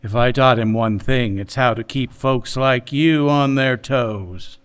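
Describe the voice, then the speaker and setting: A man's voice: mid-range voice, unhurried, clear, resonant tone, close to an everyday voice. A man playing a smug, menacing crime boss in a noir radio drama, condescending and mock-polite.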